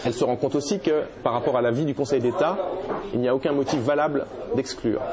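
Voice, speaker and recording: A man's voice: medium pitch, steady tone, quick pace, a loud crowd. Man giving hesitant political commentary. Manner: hesitant, many restarts and fillers. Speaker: interviewee.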